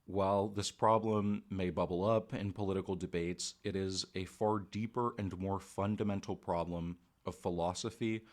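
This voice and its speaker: A man giving a measured, scripted voice-over commentary in a lecturing manner. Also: low-pitched, steady tone. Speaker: commentary narrator. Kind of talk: scripted narration. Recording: music bed under voice.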